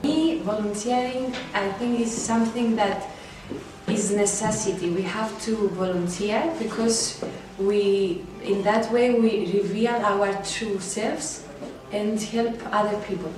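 A young woman answering an interview question slowly and earnestly, recorded indoors on a distant camera mic. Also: medium pitch, steady tone, noisy background. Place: small indoor room. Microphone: far camera mic. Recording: echoey room, distant mic, background ambience.

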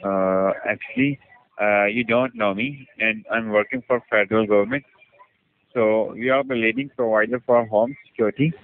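A man cold-calling as a telemarketer with a hesitant sales pitch over the telephone. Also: fairly even intonation, moderate pace. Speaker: telemarketing caller. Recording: tinny narrowband phone line.

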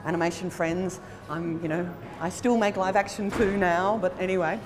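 An Australian woman in her forties talking conversationally in an interview as a film producer, in an animated, emphatic, informal manner. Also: fairly low pitch, normal speed, background noise. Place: indoor room by a bright window at a festival. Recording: chatter of people in the background.

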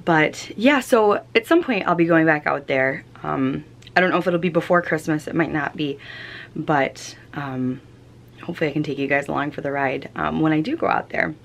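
A woman's voice: low voice, moderate pace, creaky. A young woman vlogging casually at home, chatty with many ums and restarts, tired and fighting off a cold. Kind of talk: casual chat. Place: home, small room. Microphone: camera close up.

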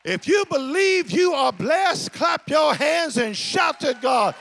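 A man preaching an impassioned sermon to a congregation in an emphatic, declamatory way, repeating phrases for effect, with a gravelly voice. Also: very high voice, sing-song, slow, fairly bright tone, shouting, faint music behind.

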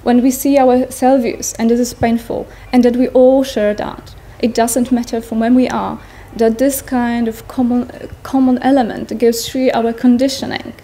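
A woman in her thirties with a Polish accent, giving an earnest personal talk to an audience in a large tent, pausing to search for words. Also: fairly high pitch, flat delivery, dark tone. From Poland. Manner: earnest, searching for words, non-native phrasing. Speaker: speaker at a Buddhist gathering. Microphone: stand mics in front of her.

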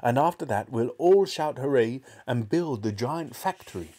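A man voicing a wildly excited, bossy farmer father in a storybook, exclaiming emphatically and boastfully. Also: mid-range voice, moderate pace, slightly rough, voice pushed up. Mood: eager, bossy.

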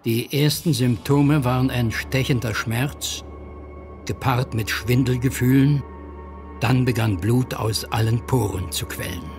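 An actor as the grave, solemn storyteller of a gothic horror audio drama, in measured scripted narration. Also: male voice, mid-range voice, close to an everyday voice. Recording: music behind.

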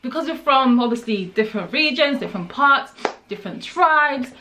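A woman's voice: high-pitched, expressive, normal speed, slight background noise. A young woman from Congo hosting a casual vlog, playful and laughing often, talking to a camera in a bedroom. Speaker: YouTube host. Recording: loud music playing behind.

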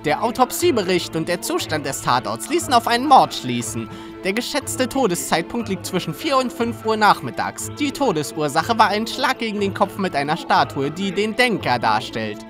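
A woman voicing a condescending male prosecutor in an animated courtroom drama, formal and precise. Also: medium pitch, moderate pace, lightly acted. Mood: formal, factual. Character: smug, formal male prosecutor, coldly needling. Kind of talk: animated courtroom fan dub acting. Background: background music.

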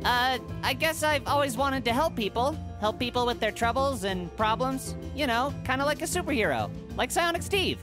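Bullied teen boy: highish, lively pitch, earnest, hesitant, cartoon game. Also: moderate pace, hardly any pauses, over music. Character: hapless bullied teenage boy, meek and earnest. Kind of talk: animated comedy game acting.

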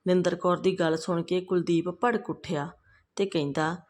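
A woman's voice: on the low side, fairly even intonation, moderate pace, darkish tone. A woman reading a scripted family moral story as a storyteller.

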